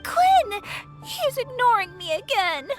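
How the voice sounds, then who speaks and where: A woman's voice: very high-pitched, sing-song, slow, faint music behind, heavily acted. An actress playing a lovelorn young townswoman in a video game, chatty and pleading. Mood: plaintive, pleading.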